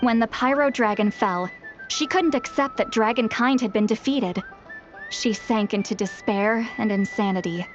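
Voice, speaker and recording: An actress voicing a wry, theatrical granny healer who plays the stern villain in a video game. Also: female voice, on the high side, normal speed, natural voice. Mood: grave. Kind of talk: dramatic video game dialogue. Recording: muffled game stream with music.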